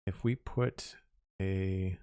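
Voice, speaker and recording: A man's voice: deep voice, steady tone, very slow, warm tone, smooth, no room sound, clean studio sound. A young man from Nova Scotia with a Canadian Maritime accent, chatting casually to his audience while playing, rambling and counting aloud. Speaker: streamer. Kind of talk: casual gameplay livestream chat.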